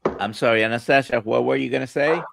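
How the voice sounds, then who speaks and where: A man's voice: medium pitch, fast, gravelly, background noise. A man hosting a livestream casually, steering the conversation with short questions and remarks. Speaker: livestream moderator.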